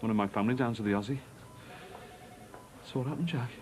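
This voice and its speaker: An actor playing a blunt, sarcastic middle-aged husband with a temper. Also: male voice, mid-range voice, quick pace, faint music behind, natural voice. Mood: wry.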